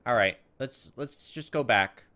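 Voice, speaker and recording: A man's voice: medium pitch, unhurried, sharp tone. A man giving casual gameplay commentary as a let's play host, thinking aloud in a hesitant, musing way.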